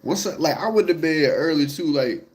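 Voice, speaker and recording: A man's voice: fairly high pitch, lively intonation, normal speed, a little mellow. A congested young man chatting casually and rambling to his viewers, full of slang and fillers. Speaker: vlogger.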